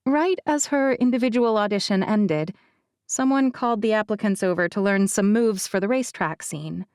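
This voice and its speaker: A woman voicing a guarded, self-effacing young actress in an audiobook, telling her story in a measured, confiding way. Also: mid-range voice, darkish tone, clear, resonant tone, no room sound, clean studio sound, lightly acted. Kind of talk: audiobook character dialogue.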